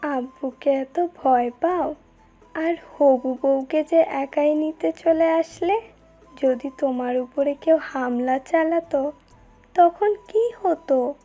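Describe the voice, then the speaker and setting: A woman acts an old grandparent in an audio story, teasing and challenging. The voice is high, soft-edged and drawn out very slowly. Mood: teasing challenge. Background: background music.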